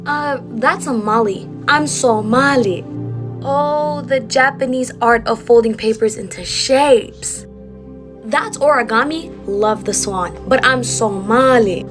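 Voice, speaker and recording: A woman performing a comedy skit, playfully acting confused over and over. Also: high voice. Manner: playful, repeating with mock confusion.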